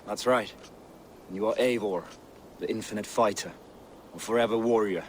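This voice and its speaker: A man playing a fatalistic, weathered Viking warrior in a video game, speaking in a solemn, measured way. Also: on the low side, a little hiss or hum, lightly acted. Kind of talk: dramatic video game acting.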